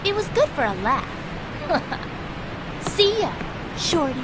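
A woman's voice: sits high, sing-song, takes it slowly, heavy background noise, heavily acted. A woman voicing a smug, mocking young female swallow mechanic for a video game cutscene, with game music behind. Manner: mocking, haughty.

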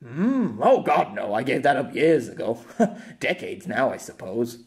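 A man's voice: medium pitch, big pitch swings, a little slow. A man playing a world-weary, kindly male author who talks in a hesitant, rueful, conversational way, in an audiobook. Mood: wistful, musing.

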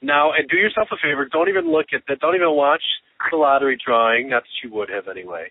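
A man's voice: mid-range voice, quick pace, breathy edge, no room sound. An American man chatting casually with a friend in rambling, sardonic rants, a bit congested and short of breath. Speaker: podcast host. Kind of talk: casual phone chat between friends. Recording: muffled lo-fi phone-call audio.

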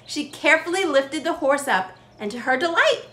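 A woman's voice: high voice, expressive, moderate pace, fairly bright tone. A young adult woman reading a picture book aloud expressively as a children's storytime host. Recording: roomy, with faint background noise.

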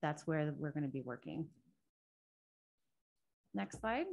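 Soft-spoken woman presenting at a council meeting. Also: low-pitched, lively intonation, slow pace, creaky. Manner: soft-spoken, hesitant with frequent ums. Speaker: state transportation project manager. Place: city council chamber. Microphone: table microphone.

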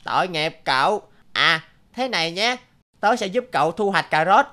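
A woman voicing a gentle little cartoon duck. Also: fairly low pitch, lively intonation, normal speed. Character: kind young yellow duck, helpful and gentle. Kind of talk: cartoon dubbing. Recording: music under the voice.

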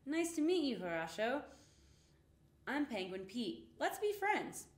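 A woman voicing an eager, friendly young penguin adventurer in a children's storybook, with excitable exclaiming. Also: fairly high pitch, measured pace, pitched above the performer's own voice. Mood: excited. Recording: roomy, echoing indoor sound.

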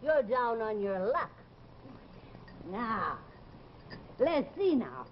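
A woman's voice: a little high, very animated, extremely slow, heavily acted. An older woman playing a folksy, gossipy landlady and former stage fortune teller in a television drama, with theatrical exclamations. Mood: chatty, nostalgic. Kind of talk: 1950s television drama acting. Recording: dull, noisy old TV soundtrack.

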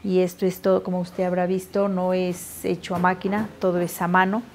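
A woman's voice: on the low side, level tone, brisk, a little hiss or hum. A middle-aged woman from Putumayo, Colombia, a weaver earnestly explaining her craft in a documentary interview.

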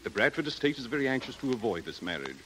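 A man's voice: mid-range voice, sing-song, quick pace, pushed and bright, a little hiss or hum, poor audio. An actor playing a stuffy, wealthy, snobbish man with a formal, pompous, hesitant manner, in 1930s radio style. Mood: delicately scheming. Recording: 1930s radio recording with music.

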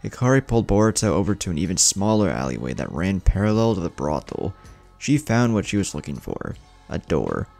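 A man's voice: low-pitched, very animated, a little fast, vocal fry, darkish tone, soft background music. A man narrating a scripted fan fiction story in a dramatic storytelling manner.

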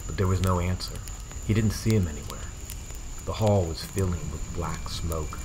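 A man reading a suspenseful novel scene aloud in a measured, dramatic storytelling manner. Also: low-pitched, noisy background. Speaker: audiobook narrator. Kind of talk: dramatic scripted novel narration. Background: music behind the voice.

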